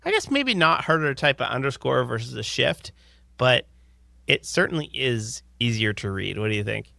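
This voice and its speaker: A man in his thirties co-hosting a podcast, upbeat, reading listener questions and riffing in casual chat from a home studio on a close boom mic. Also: big pitch swings, normal speed, gravelly, bright, forceful tone, no room sound. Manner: upbeat, reads listener questions then riffs loosely. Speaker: podcast co-host. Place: home studio with headphones, indoors. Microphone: close studio mic on boom arm.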